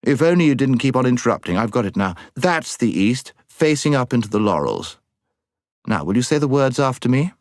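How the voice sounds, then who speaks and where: A man's voice: mid-range voice, big pitch swings, brisk, no echo, studio quality, voice pushed up, natural voice. Man as an earnest, bossy English schoolboy. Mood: bossy, irritated. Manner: solemn, know-it-all. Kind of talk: audiobook character voice.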